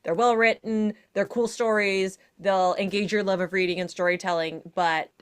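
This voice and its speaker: A woman's voice: medium pitch, fairly even intonation, normal speed, clean tone. A woman speaking as a podcast panelist, giving articulate commentary with wry and wordy phrasing.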